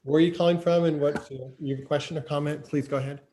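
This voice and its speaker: Male host, warm and mellow, faint noise, relaxed video-call moderator. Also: fairly high pitch, quick pace. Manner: casual, instructive, with soft ums.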